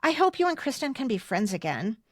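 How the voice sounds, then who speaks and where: A woman's voice: high voice, sing-song, fairly quick, dry recording, clean studio sound. An American woman in her fifties chatting casually as a podcast host, reading aloud and explaining things in asides. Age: 50s. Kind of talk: casual chat, reading old yearbook messages aloud.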